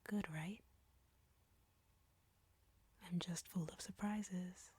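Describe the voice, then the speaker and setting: Female vampire captor, acted by a woman, audio roleplay: low, close and dry, whispery, slow, smug and flirtatious. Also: fairly even intonation, darkish tone, dry recording. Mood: smug teasing. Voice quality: breathy, whispery. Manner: slow, taunting, condescending.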